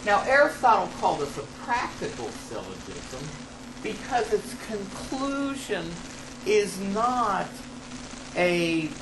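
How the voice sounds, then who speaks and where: A man reading an academic paper aloud to a class as a lecturer, halting at times and stressing key phrases. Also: on the high side. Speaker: college ethics lecturer and paper author. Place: classroom in front of students. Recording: noisy amateur classroom recording.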